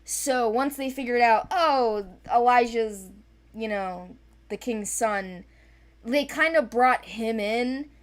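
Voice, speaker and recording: A woman's voice: a little high, slow pace. A woman chatting casually about fictional characters, rambling and correcting herself with enthusiasm.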